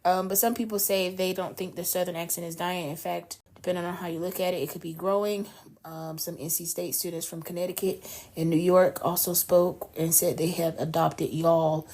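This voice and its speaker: A woman's voice: on the low side, steady tone, normal speed, darkish tone. A woman from North Carolina co-hosting a casual podcast, reading news items aloud and then riffing on them in chatty conversation. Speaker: podcast co-host.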